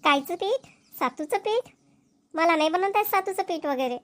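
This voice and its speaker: A woman's voice: very high voice, lively intonation, normal speed, dry recording. A woman voicing village women in a cartoon comedy, speaking colloquial rural Vidarbha Marathi. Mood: irritated. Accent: Maharashtrian, rural Vidarbha Marathi. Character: young village women, mothers and nagging wives in a comedy.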